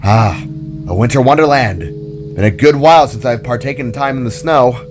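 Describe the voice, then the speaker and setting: A man voicing a cheery, positive orb-headed being in a story, with a reassuring manner and quiet music behind. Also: medium pitch, moderate pace, lightly acted.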